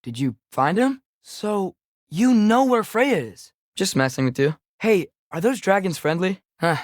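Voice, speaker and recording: A man's voice: sits high, lively intonation, unhurried, dry recording. Game role: eager, sincere teen boy, godly lineage, youthful and conversational, close dry sound. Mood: subdued, friendly. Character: earnest, eager teenage boy adventurer, son of a god. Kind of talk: video game character acting.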